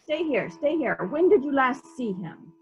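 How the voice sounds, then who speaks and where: A woman playing a brisk, authoritative police detective who puts pointed questions in a murder mystery reading, on slightly dull lo-fi audio. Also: high-pitched, very animated. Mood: probing, brisk.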